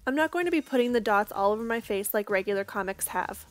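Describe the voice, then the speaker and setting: A young woman, hoarse from losing her voice, giving a casual step-by-step makeup tutorial voice-over. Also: medium pitch, expressive, fast, faint music behind. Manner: casual, chatty, reassuring.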